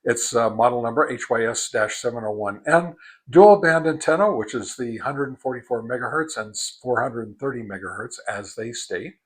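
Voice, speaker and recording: A man giving an informal product test and demonstration, explaining methodically in a halting way. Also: mid-range voice, slightly rough. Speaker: product reviewer, host.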